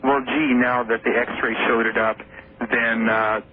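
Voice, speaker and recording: A man calling in to a radio show, rambling and halting, often trailing off and starting over. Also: mid-range voice, level tone, noisy background. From Seattle area, USA. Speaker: caller. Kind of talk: rambling phone-in call. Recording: tinny phone line on an old radio broadcast.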